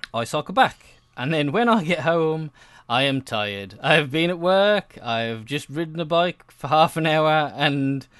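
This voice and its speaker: A man's voice: on the high side, a bit bright, clean tone, no room sound. A young adult man giving casual, meandering gameplay commentary, often hesitating and interrupting himself. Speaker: gaming video host.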